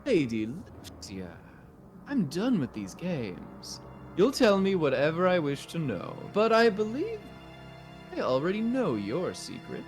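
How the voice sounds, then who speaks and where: A man's voice: on the high side, wildly swooping pitch, faint music behind, exaggerated voice. A man voicing a smug, scheming nobleman villain in a video game, mockingly courteous and condescending. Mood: menacing. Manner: mockingly courteous, drawn-out and taunting.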